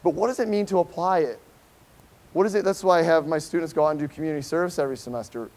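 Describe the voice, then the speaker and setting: A middle-aged man with an American accent and a slightly high voice gives a conference talk. He speaks informally and with emphasis, like a professor with a class, often tagging his points with a questioning check-in. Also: very fast, a little mellow. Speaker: professor giving a conference keynote. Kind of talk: informal public lecture. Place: lecture hall, speaking to a seated audience.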